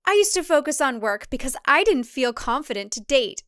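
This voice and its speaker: A woman's voice: high voice, sing-song, brisk, fairly bright tone, dry recording, clean studio sound, pitched above the performer's own voice. A woman voicing a chipper, doll-like retreat devotee with rehearsed cheer in a comic thriller audiobook. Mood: rehearsed pride.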